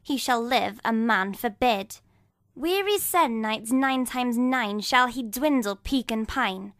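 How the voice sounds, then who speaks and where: A woman's voice: a little high, animated, slow, a bit bright, dry recording, clean studio sound, exaggerated voice. A woman playing a vengeful witch in a dramatic Shakespeare reading, chanting rhymed curses and prophecies.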